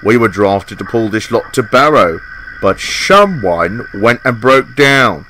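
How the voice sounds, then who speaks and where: A man's voice: fairly low pitch, expressive, takes it slowly, bright, forceful tone, slight background noise, heavily acted, poor audio. A man voicing a small, upbeat, practical tank engine who talks in a chipper, matter-of-fact way in a children's story. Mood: explaining.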